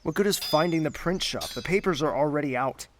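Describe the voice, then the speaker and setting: A man's voice: on the high side, fairly quick, noisy background, natural voice. A man playing an imperious, disapproving prince in an audio drama, curt and commanding.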